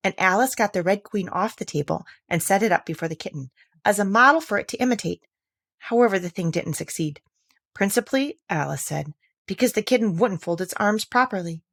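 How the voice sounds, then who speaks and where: A woman's voice: mid-range voice, lively intonation, brisk, a bit bright. A woman narrating a classic children's story as a scripted read-aloud. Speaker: narrator, audiobook reader.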